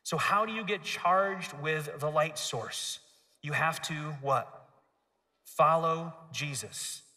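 A man's voice: fairly high pitch, takes it slowly. A man in his thirties giving a children's sermon on a church stage, asking the audience to repeat after him. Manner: lively, gestures and asks the audience to repeat. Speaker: pastor. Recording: hall reverb.

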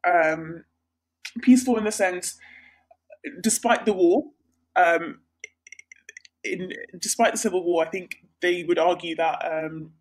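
A woman's voice: lowish voice, a little slow, pauses often. Chatty young woman interview guest. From Sri Lanka. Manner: bright, chatty, many ums and restarts. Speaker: guest, healthcare researcher. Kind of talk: casual interview.

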